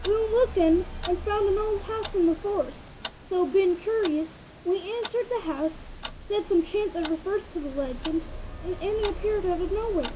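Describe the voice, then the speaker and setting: Woman acting as young filly, extremely high voice, frightened, hesitant, earnest, dark cartoon fan story, noisy recording. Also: normal speed. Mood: confessing. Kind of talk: dramatic fan fiction character reading.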